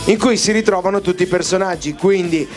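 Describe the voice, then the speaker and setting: A man in his thirties with a high voice, hosting a festival stage interview and putting playful, teasing questions to his guest. He talks into a handheld mic outdoors, with faint music behind him. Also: lively intonation, moderate pace. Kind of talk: casual interview.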